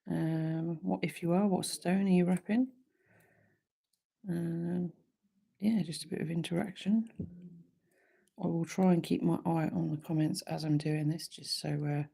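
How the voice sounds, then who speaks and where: A woman with a slightly croaky voice from a sore throat, hosting a relaxed live wire-wrapping tutorial from her workshop. She chats easily and thinks aloud with frequent ums. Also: low-pitched.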